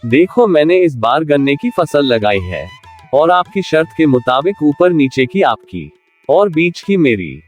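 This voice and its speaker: An actor voicing a clever, humble poor farmer in a cartoon, polite and quietly confident. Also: male voice, fairly high pitch, sing-song, a little slow, faint music behind.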